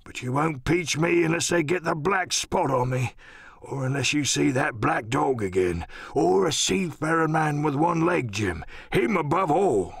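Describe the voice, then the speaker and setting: Gravelly, cantankerous old captain. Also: male voice, a little high, expressive, unhurried, no room sound, clean studio sound, pitched above the performer's own voice, exaggerated voice. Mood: weak, urgent. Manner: rambling, salty seafaring talk, cursing. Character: sick, rum-craving old pirate sea captain, cantankerous and pleading. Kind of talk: audiobook radio-play character acting.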